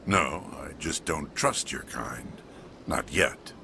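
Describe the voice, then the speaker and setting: A man playing a stern, proud alien police chief in a video game, curt and disapproving, with a gravelly voice. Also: very deep voice, lively intonation, slow, pushed and bright, a little hiss or hum, heavily acted. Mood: cold, resentful. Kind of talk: video game dialogue acting.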